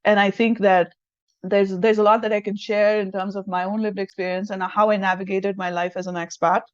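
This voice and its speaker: A woman from India speaks, her Hindi. She talks earnestly and thoughtfully in an interview, reworking her phrasing as she goes. Her voice sits at a middle pitch and sounds dull and dry, as if recorded lo-fi. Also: little pitch movement, brisk, dry recording. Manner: reflective, earnest, rephrasing as she thinks. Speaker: self-leadership coach, guest. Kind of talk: conversational interview.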